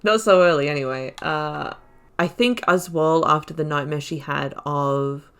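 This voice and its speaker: A woman with a low voice narrates her video game playthrough casually. She thinks aloud, reflective, and reads dialogue choices in character. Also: measured pace, fairly bright tone, clean tone. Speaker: gaming YouTuber. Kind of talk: casual let's-play commentary, reading dialogue options aloud.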